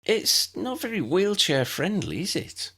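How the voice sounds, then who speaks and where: A curious, polite little girl in a storybook, as a man narrator puts her on. The pitch jumps well up and moves around a lot, with an innocent, questioning manner. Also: very animated, measured pace, a bit bright, slightly rough, full character voice. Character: curious, polite young muggle girl, eager newcomer.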